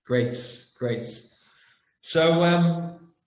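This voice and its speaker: A man's voice: on the high side, drawn-out words, echoey room, rough recording. A man hosting a podcast who reads a polite introduction and asks questions with a few fillers. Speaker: podcast host. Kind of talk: interview hosting.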